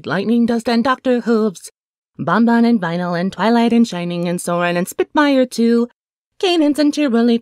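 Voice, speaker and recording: A woman's voice: fairly high pitch, dry recording, clean studio sound, close to an everyday voice. A woman voicing a smug young pony princess who breezily rattles off a long list.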